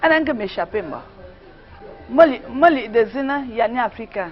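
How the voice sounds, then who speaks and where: A woman's voice: a little high, expressive, a little fast. A woman from western Cameroon with a Cameroonian accent, giving a greeting in a street interview. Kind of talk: vox pop greeting to camera. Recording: dull lo-fi street audio.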